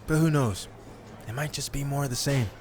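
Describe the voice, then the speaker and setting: A cocky young man played by a male actor, a wrestling rookie in a game story, speaks with sardonic self-assurance at a middling pitch. There is clear background noise under the voice. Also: a little fast, close to an everyday voice. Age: young adult. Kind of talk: video game cutscene voice acting.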